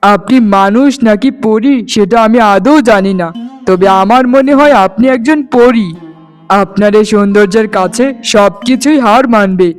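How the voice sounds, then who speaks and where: Man voicing a high-pitched lovestruck young shepherd. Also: moderate pace, faint music behind, natural voice. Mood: admiring. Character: lovestruck young shepherd flute player, earnest and stubborn. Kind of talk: Bengali fairytale cartoon dialogue.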